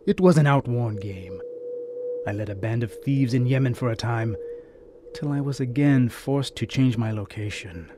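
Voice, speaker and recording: A man playing a coldly courteous, gloating bandit chief in an audio drama, with florid, formal and measured speech. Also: medium pitch, noisy background, close to an everyday voice. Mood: proud, assured. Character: gloating bandit chief, scarred former slave trader, coldly courteous villain.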